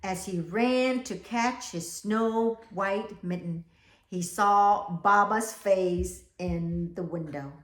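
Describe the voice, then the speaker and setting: A woman reading a picture book aloud to children, expressive and animated, with chatty, exclaiming asides to young listeners. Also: mid-range voice, slow. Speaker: read-aloud storyteller for children. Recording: echoey room.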